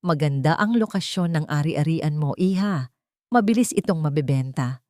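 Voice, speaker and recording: A woman's voice: low voice, expressive, moderate pace, dark tone, no echo, studio quality. Woman playing a courteous, shrewd Filipino lady broker. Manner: courteous, clear and direct. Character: polite, shrewd female broker posing as a friend. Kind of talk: dramatic storytelling.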